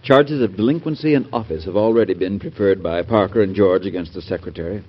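A man's voice: low-pitched, fast talker, breathy edge. A man playing a loyal, solicitous presidential aide in an old radio drama, speaking with formal deference. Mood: concerned, respectful. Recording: muffled vintage radio broadcast.

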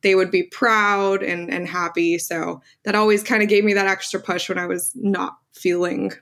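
A woman's voice: fairly low pitch, level tone, moderate pace, a bit bright. A woman in her twenties whose first language is Armenian, answering interview questions candidly as a young lawyer. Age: 20s. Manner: candid, earnest, with fillers. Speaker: guest lawyer.

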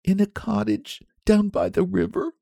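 A man's voice: sits high, huge pitch swings, normal speed, creaky, dark tone, no echo, clean studio sound, voice pushed up, heavily acted. A man playing a meek, tearful little shepherd boy in a storybook, pleading plaintively. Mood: sad, meek.

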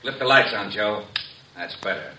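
Radio crime drama man plays threatening thug: lowish voice, curt, commanding, noisy old recording. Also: expressive, moderate pace, echoey room, natural voice. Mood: menacing. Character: menacing male thug holding someone at gunpoint. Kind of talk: old-time radio mystery acting.

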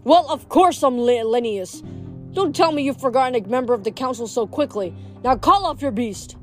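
A woman's voice: on the high side, lively intonation, normal speed, faint music behind, close to an everyday voice. A woman putting on a blustering old fat satyr man. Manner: huffy, blustering, stammers when scared. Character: very old fat male satyr, pompous and cowardly.

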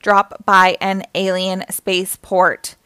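A woman voicing a calm, careful male robot spaceship pilot in a children's story, measured and composed. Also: mid-range voice, clean tone, no echo.

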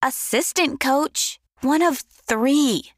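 A woman's voice: high-pitched, very slow, no room sound, studio quality. A woman playing a hurt, moody, artistic young daughter in an audio drama, sulky and accusing.

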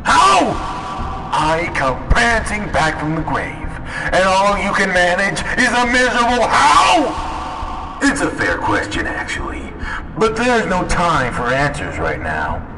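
A man's voice: high-pitched, huge pitch swings, takes it slowly, over loud music, heavily acted, poor audio. A man playing a flamboyant, gleefully menacing clown supervillain in an audio drama, with a theatrical, mocking delivery. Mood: manic glee. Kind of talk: audio drama villain acting.

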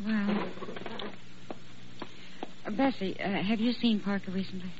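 A woman acts out a sensible, polite hospital receptionist from vintage radio drama. Her mid-range voice is composed. The recording is muffled and clearly noisy. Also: mid-range voice, steady tone, unhurried, natural voice. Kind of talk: old-time radio drama acting.

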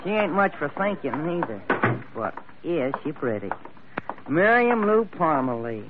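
An actor playing a folksy, excitable sidekick with a Southern US accent and a colloquial drawl, in old-time radio drama style. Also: male voice, a little high, unhurried. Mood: gossipy, smitten. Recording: tinny, noisy old radio recording.

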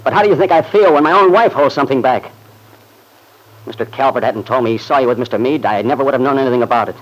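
An actor playing a jealous, irritable young husband with a curt, needling manner in an old-time radio soap opera. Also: male voice, medium pitch, fast, close to an everyday voice. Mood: angry, accusing. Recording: noisy vintage radio recording.

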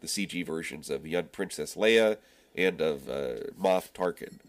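A man with a cold, sounding husky and stuffed up, chatting casually about movies as a podcast co-host. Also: a bass voice, measured pace. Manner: rambling, many fillers and restarts. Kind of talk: casual movie review chat.

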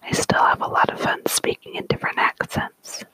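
A storyteller reading tales aloud in a soft, deliberate whisper, in an ASMR style. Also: dry recording. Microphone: close mic.

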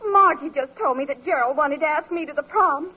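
A muffled old radio recording of a woman acting a teenage girl. Her voice is extremely high, whiny and plaintive, making everything a tragedy. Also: a little fast, natural voice. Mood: tearful, wailing. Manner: plaintive, wailing. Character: melodramatic, whiny teenage daughter. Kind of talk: 1940s radio comedy acting.

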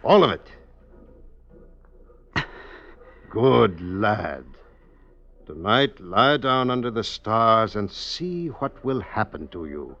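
A man's voice: lowish voice, very animated, takes it slowly, fairly bright tone, heavily acted. A man playing a scheming, coaxing stonemason with folksy old-country speech in an old radio drama. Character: scheming male stonemason, sly, coaxing poisoner. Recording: muffled, noisy vintage radio recording.

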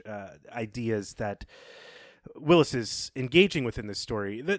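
A man's voice: mid-range voice, a bit bright, no room sound, studio quality. A man co-hosting a podcast, talking through literary analysis in an opinionated, emphatic, conversational way. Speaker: podcast co-host.

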